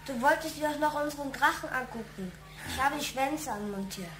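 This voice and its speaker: A woman's voice: sits high, a little fast, a bit bright, roomy sound, faint music behind. A woman playing an eager young boy in a radio play. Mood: eager, pleading. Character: young boy, eager kite builder pleading with father.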